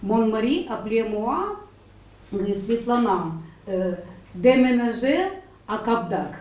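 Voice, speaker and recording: Woman, Ukrainian accent, halting second-language speech, mellow, slightly distant. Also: fairly high pitch, animated. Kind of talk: halting personal account. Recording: echoey room, off mic, faint noise.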